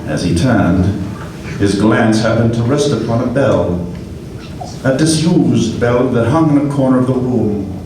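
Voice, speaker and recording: Male narrator, measured storytelling, slow, recorded far off in an echoey room with noise. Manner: slow, measured, dropping low on key words. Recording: echoey room from far off, noisy live stage.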